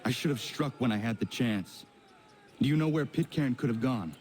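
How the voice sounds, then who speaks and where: An actor playing an earnest, determined young warrior in a video game, speaking in a solemn, formal way. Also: male voice, medium pitch, moderate pace, darkish tone, close to an everyday voice. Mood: brooding, determined. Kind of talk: video game cutscene acting.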